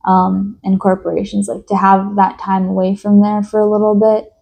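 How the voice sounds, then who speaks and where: A young woman from New York talks in a slow, reflective personal monologue, thoughtful and hesitant, with many fillers and restarts. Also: medium pitch, monotone, gravelly, darkish tone. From United States. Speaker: vlogger.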